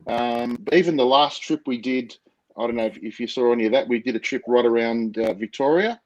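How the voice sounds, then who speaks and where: Loud Australian man, projecting voice. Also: mid-range voice, fairly quick, highs cut off. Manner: enthusiastic, spontaneous. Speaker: guest, motovlogger. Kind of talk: casual interview chat.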